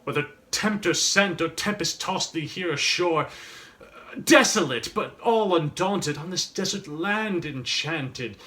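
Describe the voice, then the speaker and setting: Slow, tormented gothic mourner. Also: male voice, a little high, lively intonation, pushed and bright, voice pushed up. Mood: agitated, pleading. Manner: theatrical, drawn-out, swinging from whispers to shrieks. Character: grieving, tormented man driven to frenzy by a raven. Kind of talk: dramatic recitation of gothic poetry.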